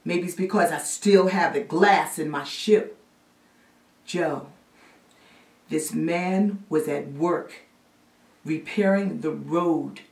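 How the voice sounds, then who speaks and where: A woman's voice: sits low, slow pace, creaky, long pauses, heavily acted. Lady acting as a fired-up woman reporter pleading her case, theatre monologue, roomy and far from the mic. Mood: indignant, pleading. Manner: indignant, emphatic pleading. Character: impassioned female newspaper reporter pleading with her editor. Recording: roomy echo, distant microphone.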